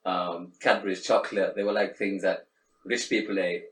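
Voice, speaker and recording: Low-voiced Sri Lankan-born man, hesitant. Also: fairly even intonation, moderate pace, fairly bright tone. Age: middle-aged. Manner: hesitant, many restarts and fillers. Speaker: interviewee. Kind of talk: reflective casual conversation. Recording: echoey room, faint background noise, mic at a distance.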